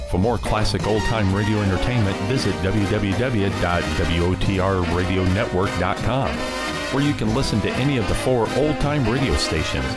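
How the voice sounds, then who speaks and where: Low-voiced male podcast host over loud music. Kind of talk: scripted outro narration. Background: loud music bed.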